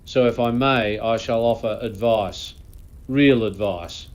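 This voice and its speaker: A man's voice: mid-range voice, slow, natural voice. A man playing a world-weary old lord, delivering a florid, disdainful comic monologue in a pompous, theatrical manner. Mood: mournful, grand. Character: pompous, world-weary old aristocrat lamenting lost elegance. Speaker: host.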